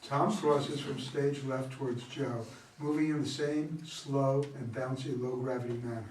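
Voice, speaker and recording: A man's voice: medium pitch, fairly even intonation, measured pace, darkish tone. Man reading stage directions in an echoey room. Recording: echoey room, distant mic, background noise.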